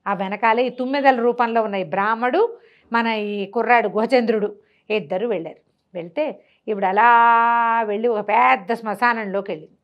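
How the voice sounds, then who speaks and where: A woman storyteller with a mid-pitched voice narrates an old tale as if chatting to a listener. She throws in rhetorical questions and voices the characters' dialogue herself. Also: highs cut off. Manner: conversational, asks rhetorical questions, acts out dialogue. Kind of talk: animated oral storytelling of a traditional tale.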